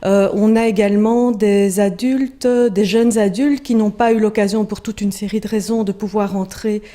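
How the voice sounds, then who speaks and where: A woman explains in an articulate, steady way in an interview. Her voice is warm and mellow at a middle pitch. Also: moderate pace.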